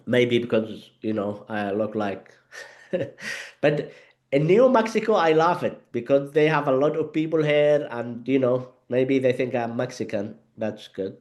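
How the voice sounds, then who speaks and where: A man from Yemen, with Arabic as his first language, speaks haltingly on a video call. He restarts often and leans on fillers. His voice sits at an ordinary middle pitch. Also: darkish tone. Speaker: guest speaker. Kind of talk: casual conversation over video call.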